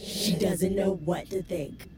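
A woman's voice: medium pitch, fairly even intonation, takes it slowly, over loud music, close to an everyday voice. A woman playing a sassy, protective older shadow companion in a fiction podcast, teasing and dramatic in manner. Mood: gleeful mockery.

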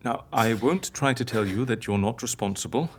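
A woman's voice: rumbling low, moderate pace, darkish tone, slightly rough. A woman playing an eccentric female healer in an audio drama, theatrical, playful and persuasive. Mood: coaxing, solemn.

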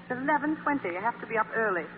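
Woman acting a brisk sardonic lady editor, lively radio. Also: a little high, lightly acted. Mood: cool, sardonic. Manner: crisp, dry, commanding. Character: brisk, ambitious, guarded, sardonic female magazine editor. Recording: hissy, tinny old radio broadcast, hall reverb.

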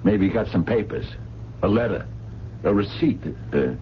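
An actor playing a gruff, sardonic working-class diner man in a radio drama, impatient and full of slangy grumbles. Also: lowish voice, expressive, moderate pace, natural voice. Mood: probing. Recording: dull, noisy vintage radio broadcast.

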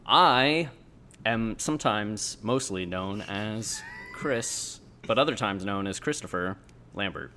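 A young man co-hosting a casual podcast, joking wryly and rambling a little. His pitch moves around a lot. Faint room ambience sits under his voice. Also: wildly swooping pitch, slow pace, bright, forceful tone, a little hiss or hum. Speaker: podcast co-host.

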